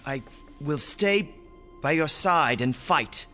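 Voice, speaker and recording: Proud young man, slow and bright. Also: fairly high pitch, animated, faint music behind, full character voice. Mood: earnest, defiant. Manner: earnest, formal, halting. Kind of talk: radio drama acting.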